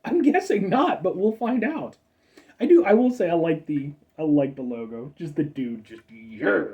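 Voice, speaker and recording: A man's voice: a little high, animated, moderate pace, recorded from a distance, dull audio. A man in his thirties hosting a casual soda review show, rambling with chatty asides and a slightly stuffed-up nose. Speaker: food review show host.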